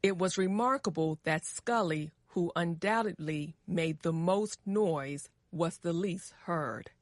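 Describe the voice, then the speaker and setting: An American woman narrates a scripted story for language learners. Her voice is fairly low and very slow, every word carefully set out, in a dry recording. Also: no echo. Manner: measured, carefully enunciated.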